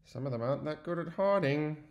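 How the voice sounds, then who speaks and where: A man giving an expressive, child-directed storybook read-aloud, like a teacher, with chatty asides. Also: on the high side, fairly quick, dull audio.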